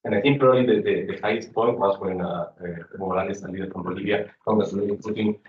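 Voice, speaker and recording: A man's voice: on the low side, steady tone, fast, a little hiss or hum. A man from Ecuador asking a question from the seminar audience, searching for his words. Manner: searching for words, with many fillers. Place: seminar room. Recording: roomy, distant and muffled.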